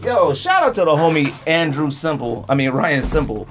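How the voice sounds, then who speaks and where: A man chatting casually about sneakers in an animated, emphatic, slangy way, like a podcast co-host. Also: fairly high pitch, normal speed, noisy background. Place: podcast studio.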